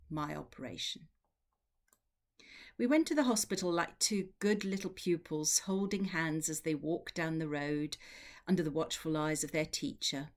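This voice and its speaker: A woman with a low-pitched voice reads literary fiction aloud as a narrator. Her delivery is measured and expressive, shaped like an audiobook reading. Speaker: literary translator reading from a novel.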